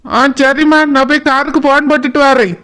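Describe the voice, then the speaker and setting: A man's voice: very high-pitched, little pitch movement, brisk, noisy background. A man voicing a dutiful, practical grown son in a village cartoon, obliging in manner, with a Kanyakumari Tamil accent. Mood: busy, obliging. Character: dutiful, practical adult son organizing transport. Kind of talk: village cartoon drama acting.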